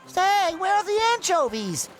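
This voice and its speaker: A man voicing an eager, childlike, naive talking sea sponge in an animated game cutscene, earnest and excitable. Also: extremely high pitch, a little slow, faint music behind, exaggerated voice. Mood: earnest, encouraging.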